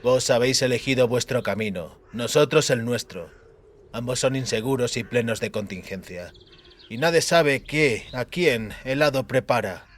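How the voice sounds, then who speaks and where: A man voicing a calm, fatalistic elf rebel in a fantasy audiobook, soft-spoken and solemn. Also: medium pitch, normal speed, natural voice. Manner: philosophical, solemn.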